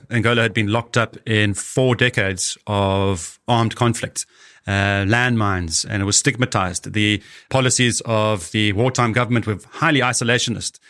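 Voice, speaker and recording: A man's voice: lowish voice, fairly bright tone. A man recounting his experiences as an expedition leader in a conversational interview.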